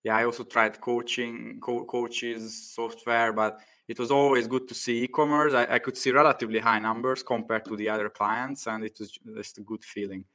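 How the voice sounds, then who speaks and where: A man's voice: medium pitch, little pitch movement, normal speed, few pauses, sharp tone. A man hosting a podcast interview in a casual chat, with many hesitant fillers. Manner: many "uh" fillers, loose phrasing. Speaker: podcast host.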